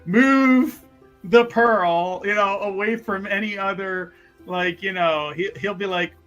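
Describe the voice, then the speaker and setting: A man's voice: high voice, slow, fairly bright tone, soft background music, low-quality recording. A man improvising casual narration as a tabletop roleplaying player, rambling and often repeating himself. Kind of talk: improvised casual narration of his character's actions.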